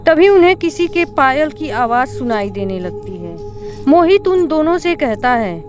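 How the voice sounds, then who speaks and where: A woman's voice: high voice, sing-song, normal speed, over music. A woman reading scripted narration for a cartoon horror story.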